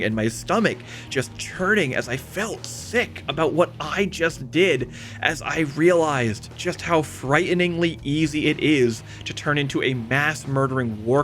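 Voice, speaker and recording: A man's voice: fairly high pitch, fairly bright tone. A man narrating a scripted video essay as its host, with emphatic, dramatic phrasing and conversational asides. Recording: music behind the voice. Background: background music.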